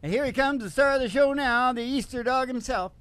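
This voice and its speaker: A man's voice: very high-pitched, fairly quick, clear, resonant tone. A man hosting a country music and comedy show, with folksy, playful, rambling banter and acoustic country singing. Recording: live guitar accompaniment, loud music. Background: acoustic guitar.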